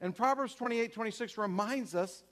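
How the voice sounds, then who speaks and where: High-voiced man preaching emphatically. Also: moderate pace, fairly bright tone. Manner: emphatic, repetitive, asks the congregation questions. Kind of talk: sermon.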